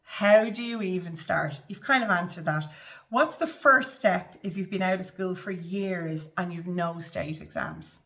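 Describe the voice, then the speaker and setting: A woman's voice: lowish voice, a little slow, sharp tone. A woman hosting an interview, friendly, summing up the guest's points and affirming them. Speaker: host. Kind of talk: conversational interview.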